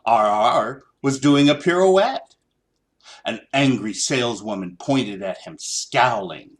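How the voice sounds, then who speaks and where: A man reading a children's story aloud in an expressive, theatrical, deliberate style. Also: medium pitch. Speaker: storybook reader.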